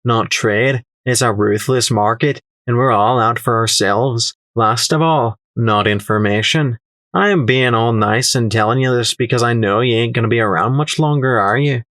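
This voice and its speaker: A man's voice: medium pitch, moderate pace, a bit bright, no room sound, natural voice. A man voicing a shrewd, condescending pony merchant in an audiobook story, with a sly, flighty, sneering delivery. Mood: menacing, condescending. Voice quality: flighty, airy. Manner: sneering, condescending, calling others laddie.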